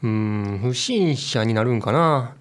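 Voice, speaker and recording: An actor with a Kansai accent playing a casual, blunt convenience store clerk in a radio drama. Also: male voice, mid-range voice, expressive, unhurried, lightly acted. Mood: chatty.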